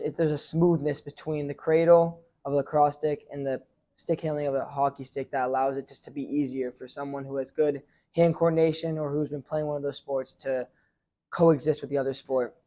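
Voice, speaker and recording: A teenage boy talks about being a sports team captain in a relaxed, mellow voice. He wanders through his answers, restarting sentences and filling gaps with "like" and "I feel like." His tone is casual and unpolished. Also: on the high side, brisk. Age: teens. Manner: rambling, lots of fillers and restarts. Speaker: high school lacrosse goalie and captain.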